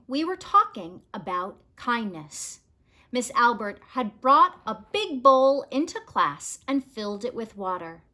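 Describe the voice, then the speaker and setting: A woman narrating a children's picture book as a read-aloud, in an expressive, measured storytelling manner. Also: on the high side.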